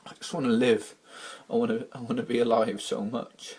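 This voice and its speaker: A man from Wales, speaking in a halting, emotional video diary while distressed and close to tears. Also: medium pitch, flat delivery, darkish tone, husky. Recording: old archival video clip.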